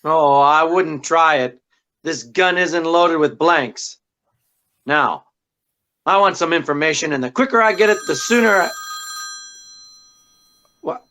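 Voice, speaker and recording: A man's voice: fairly high pitch, measured pace, pauses often, sharp tone. A man playing a tough, wisecracking private detective in 1940s radio style, brusque, slangy and commanding, on a dull recording. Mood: tough, pressing. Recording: dull, band-limited sound.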